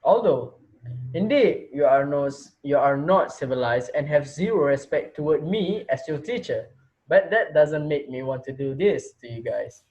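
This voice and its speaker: A man's voice: on the high side, expressive, moderate pace, a little mellow, lo-fi sound, lightly acted. A man playing a sinister, taunting teacher holding his students captive in an amateur dramatic reading. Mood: menacing, mocking. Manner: theatrical, taunting. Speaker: actor in a student reader's theater.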